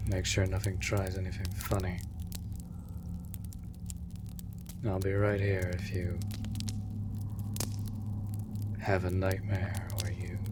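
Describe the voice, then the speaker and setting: A man playing a stoic, protective monster hunter in an intimate comfort audio drama, speaking in a hushed, breathy, reassuring way. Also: sits low, very noisy. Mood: soothing, protective. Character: gruff, protective male monster hunter, stoic but gentle. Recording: loud rain and cave ambience. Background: rain in a cave.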